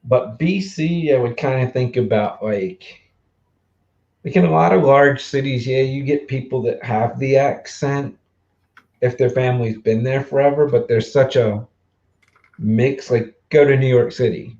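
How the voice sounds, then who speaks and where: A man in his forties from the Mid-Atlantic US, hosting a casual livestream chat, easygoing and quick to laugh, with lots of fillers. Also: medium pitch, darkish tone, highs cut off. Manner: chatty, laughs easily, trails off with fillers. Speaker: livestream host.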